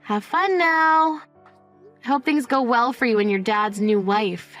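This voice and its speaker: A woman's voice: on the high side, unhurried, a bit bright, soft background music. A woman playing a cold, sarcastic mother who tells her story in a smug, dismissive way. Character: cold, sarcastic middle-aged mother, smugly unsympathetic. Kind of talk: dramatized first-person story narration.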